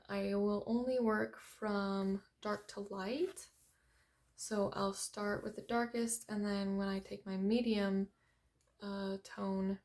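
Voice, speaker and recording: A young woman hosting a coloring tutorial in a very quiet, soft-spoken voice, hesitant and apologetic, with many ums as she works. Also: mid-range voice. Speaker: art tutorial host. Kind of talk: unscripted coloring tutorial narration.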